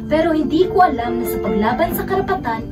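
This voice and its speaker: A woman's voice: high voice, lively intonation, quick pace. A woman with a Filipino accent playing an embittered young woman who narrates her sad life in a radio drama. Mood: sorrowful, embittered. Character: sorrowful, angry young woman telling her life story. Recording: loud music, slightly distant mic.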